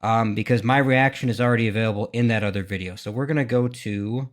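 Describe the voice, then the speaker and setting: A man's voice: lowish voice, fast talker. A man giving casual, speculative commentary as a gaming reaction host, often questioning his own thoughts. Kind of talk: casual commentary, thinking aloud.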